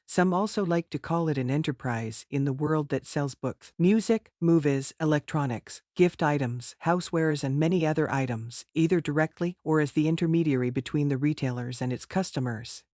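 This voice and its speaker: A man's voice: on the high side, a little mellow, dry recording, studio quality. A man answering mock speaking-test questions in a formal, rehearsed way. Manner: formal, rehearsed, measured delivery. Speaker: test candidate.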